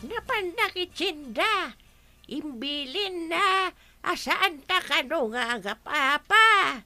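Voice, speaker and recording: Shrill, drawn-out old village woman. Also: lively intonation, sharp tone, no room sound, faint music behind, heavily acted. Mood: chiding. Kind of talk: radio comedy drama acting.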